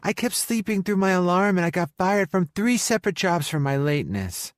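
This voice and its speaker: A man's voice: high voice, lively intonation, brisk, dry recording, clean studio sound, voice pushed up. A man voicing a feisty, easily flustered young woman in romance audiobook dialogue. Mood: exasperated. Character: young woman, flustered, sleepy then frantic, feisty girlfriend.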